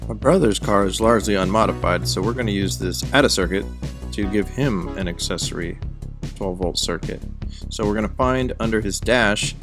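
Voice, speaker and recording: Low-voiced man narrating over music. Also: moderate pace. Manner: wry, jokey. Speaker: DIY car vlog host. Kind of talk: casual how-to vlog narration. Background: background music.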